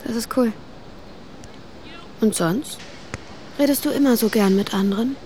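A young woman playing a curious, forthright, gently teasing teenage girl in a naturalistic audio drama, with a direct, questioning manner. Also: on the high side, measured pace, natural voice. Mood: friendly, curious. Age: young adult. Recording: outdoor ambience, faint traffic noise.

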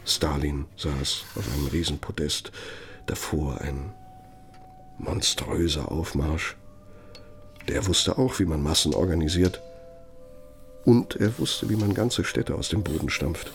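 An actor playing a self-important ageing German architect who dictates in a pompous, deliberate, rhetorical manner, as in a radio play. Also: male voice, extremely low pitch, faint music behind, full character voice. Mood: nostalgic, proud.

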